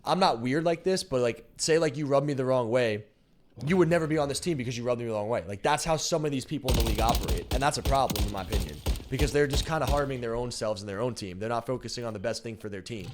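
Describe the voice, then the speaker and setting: A man's voice: mid-range voice, quick pace. Young guy streamer rambling casually, swears, says like a lot, slight hiss behind. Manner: loose and frank, heavy "like" fillers, swears. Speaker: streamer and pro team coach. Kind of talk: casual chat while gaming on stream.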